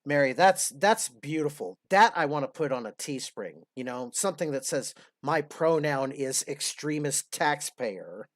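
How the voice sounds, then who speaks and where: A man's voice: on the high side, animated, unhurried, few pauses, no room sound, studio quality. A man in his fifties hosting a casual commentary, blunt, sarcastic and profane. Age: 50s. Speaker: host.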